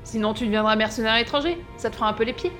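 Woman acting a fast, abrasive female gangster. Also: fairly high pitch, over music, lightly acted. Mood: mocking. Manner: cutting, scornful, blunt. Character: abrasive, hot-tempered female gangster, harsh and mocking. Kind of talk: audio drama acting.

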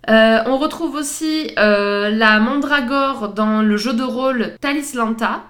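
A woman with a fairly high voice co-hosts a relaxed podcast. She talks her way through a list of references, stopping often on fillers and laughing easily. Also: unhurried. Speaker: podcast co-host. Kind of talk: casual chat presenting pop-culture references.

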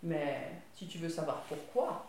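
A woman voicing a gruff, smelly male manure heap who grumbles bluntly. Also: low voice, lively intonation, a little slow, darkish tone, slight background noise, voice pushed down. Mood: grumbling. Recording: very echoey room.

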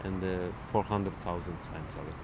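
A man being interviewed outdoors, speaking haltingly with many fillers as he searches for words. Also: sits low, steady tone. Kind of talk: casual street interview. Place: outdoors on a city street. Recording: outdoor street noise with traffic.

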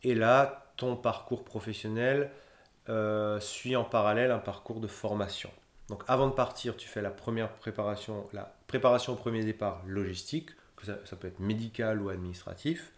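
A man in his thirties answering interview questions in a relaxed, hesitant way, with many fillers and restarts. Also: fairly low pitch. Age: 30s. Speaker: humanitarian logistician. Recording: roomy echo.

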